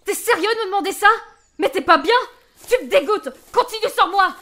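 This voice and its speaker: A woman playing a talkative, expressive young potion student adventurer in an amateur fantasy audio drama, speaking informally. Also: very high voice, brisk. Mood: mock outrage.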